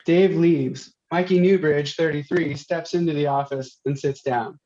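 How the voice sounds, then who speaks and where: A man's voice: fairly high pitch, a little slow, dark tone. A man reading out stage directions as scripted narration. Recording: dull, lo-fi sound.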